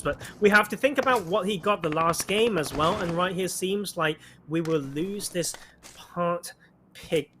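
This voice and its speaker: A man's voice: fairly high pitch, noisy background. A man co-commentating an esports match in a chatty way, with many fillers and restarts. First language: not Mandarin. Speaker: esports co-caster.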